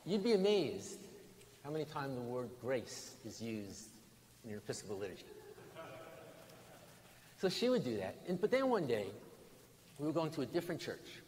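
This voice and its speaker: An older American man, a bishop, preaching a sermon in deliberate, emphatic phrases with pauses and repetition. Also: mid-range voice, big pitch swings, darkish tone. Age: 60s or older. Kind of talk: sermon with storytelling. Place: large stone church interior, at lectern. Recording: reverberant church hall, background noise.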